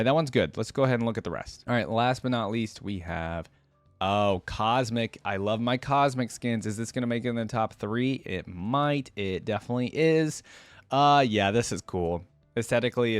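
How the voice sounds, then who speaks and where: A man's voice: fairly low pitch, clear, resonant tone, no room sound. A man giving casual, unscripted reaction commentary as a video host, chatty and thinking aloud.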